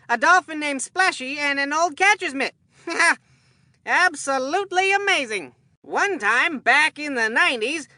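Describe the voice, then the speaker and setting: A woman's voice: sits high, sharp tone, dry recording. A woman voicing a goofy, food-obsessed kid baseball commentator in a video game, with a folksy, rambling, earnest delivery. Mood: chatty, amused. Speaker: sports commentator. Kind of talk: cartoonish scripted game commentary.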